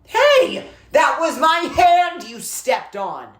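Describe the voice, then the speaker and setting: A storybook reader voicing a blunt but friendly young boy who can exclaim sharply or speak softly. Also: high voice, wildly swooping pitch, slow, voice pushed up, exaggerated voice. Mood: startled, indignant. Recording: roomy, echoey sound.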